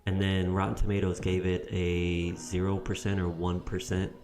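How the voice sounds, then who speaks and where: A man's voice: sits low, monotone, moderate pace, music in the background. A man co-hosting a casual podcast chat, rambling and restarting his sentences as he goes. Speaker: podcast co-host.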